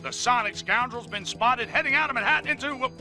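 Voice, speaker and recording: A man's voice: very high-pitched, fast talker, pushed and bright, faint music behind, full character voice. A man playing a brash, self-important newsman delivering a punchy, blustering bulletin in a video game.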